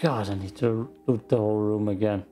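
A man's voice: fairly low pitch, a little fast, warm tone, soft background music. A man with an Austrian accent, a native German speaker, giving casual muttering commentary as he plays a game. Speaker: gaming streamer. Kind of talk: casual let's play commentary.

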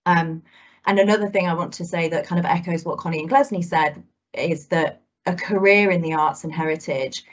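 A woman's voice: lowish voice, fairly even intonation, moderate pace. A woman in her thirties, a guest speaker talking to students over a video call, chatty and full of "kind of" fillers. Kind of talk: talk to students. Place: indoors at home. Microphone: laptop video call. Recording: muffled video call audio.

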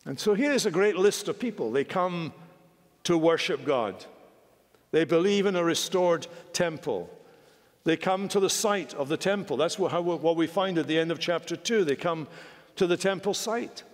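Older preacher in a reverberant church. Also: male voice, lively intonation. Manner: measured, emphatic preaching with sweeping pitch swings and gestures. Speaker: senior minister preaching. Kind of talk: sermon. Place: large wood-panelled church sanctuary. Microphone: pulpit microphone. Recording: long church hall reverb.

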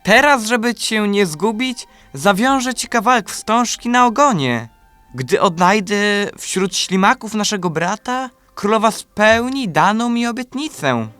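A man's voice: high voice, lively intonation, a little slow, pushed and bright, clean tone, no echo, soft background music, exaggerated voice. A man playing a devoted, earnest and courteous young prince in a fairy-tale radio play. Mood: joyful, affectionate. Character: devoted young prince searching for his brothers.

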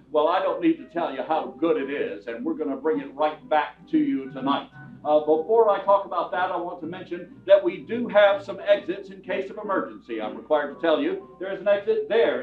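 A middle-aged man introducing a show live on stage, addressing the audience directly as host. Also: fairly high pitch. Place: theatre stage, before an audience. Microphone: distant camera. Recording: tinny, echoey theatre from far off, music behind.

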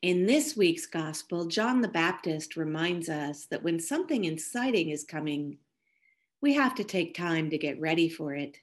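A woman minister reading scripture and a devotional reflection in a careful, measured way. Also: sits low, expressive.

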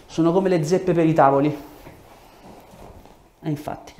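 A man in his thirties talks a patient through treatment with brief directions and questions. His voice sits at a middling pitch with a soft, dark tone. It is picked up on a lapel mic in an echoey room with some background noise. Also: steady tone, moderate pace. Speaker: chiropractor. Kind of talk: hands-on clinical talk to a patient, giving instructions and explanations. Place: small treatment room, indoors.

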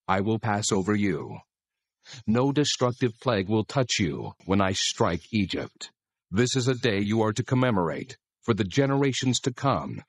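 A man playing God as a solemn, authoritative deity, giving measured instructions in a dramatized scripture reading. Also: fairly low pitch, no echo, studio quality.

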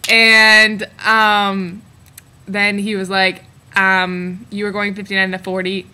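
A woman's voice: medium pitch, little pitch movement, slow, pushed and bright. A teenage girl co-hosting a casual webcam chat at home, telling stories in a laid-back way. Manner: laid-back, chatty storyteller. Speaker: co-host. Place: bedroom at home, indoors. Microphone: built-in laptop webcam mic.

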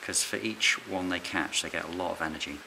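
A middle-aged man, a licensed bird ringer, calmly explaining his fieldwork while handling birds in a farm barn. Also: deep voice, a little fast, creaky. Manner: calm, quiet, hands-on explaining with fillers. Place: open farm barn on straw, outdoors air. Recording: noisy outdoor camera recording.

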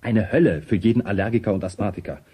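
Very husky, brooding grieving man. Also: fairly low pitch, quick pace, warm tone. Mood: bitter, brooding. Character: bitter, obsessed, grieving man. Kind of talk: radio play dialogue.

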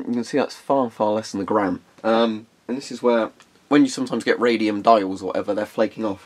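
A man's voice: lowish voice, darkish tone. A young man with a congested, phlegmy voice, casually demonstrating equipment in a carpeted room and rambling with frequent ums. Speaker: hobbyist video host.

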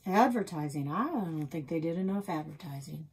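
An American woman voicing a gruff, suspicious small-town sheriff in a storybook read-aloud, folksy and pointed. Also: deep voice, animated, normal speed, darkish tone, full character voice. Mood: dry.

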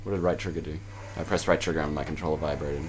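A man playing a video game, commenting casually as he goes with animated, bantering reactions. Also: very deep voice, very fast. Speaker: gaming video player and commentator.

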